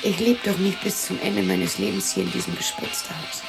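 A woman's voice: lowish voice, big pitch swings, fairly quick, gravelly, over loud music. An actress playing a lonely, cantankerous widow in her sixties in a radio drama monologue, muttering to herself and snapping. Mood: bored, irritated. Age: 60s. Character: lonely, cantankerous sixty-year-old German widow talking to herself.

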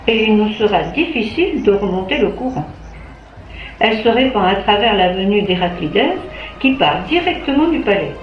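A woman reading a literary story aloud as an audiobook narrator. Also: mid-range voice. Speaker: audiobook reader. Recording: dull, roomy recording.

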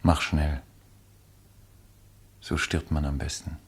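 An actor playing a condemned idealistic man in a 1950s German radio play, speaking solemnly and rhetorically with a husky voice. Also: very deep voice, little pitch movement, takes it slowly, exaggerated voice. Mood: resigned. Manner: solemn, rhetorical, impassioned declamation. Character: condemned man, idealistic intellectual, weary and resigned facing his executioner.

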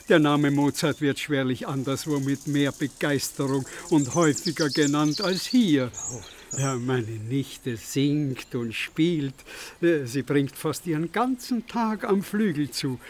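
An actor playing a courtly, hospitable count in a radio play, speaking in a florid, emphatic, courteous manner. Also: male voice, on the high side, a little hiss or hum. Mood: effusive, welcoming. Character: effusive, courtly aristocratic count, a hospitable father. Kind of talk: German radio play acting.

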